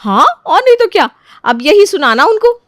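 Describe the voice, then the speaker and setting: A mocking young sister-in-law from a storybook, acted by a woman narrator. Her high voice drips sugary falseness and gloats as she schemes. The sound is dry. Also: big pitch swings, normal speed, a bit bright, no echo, full character voice. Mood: gushing false praise.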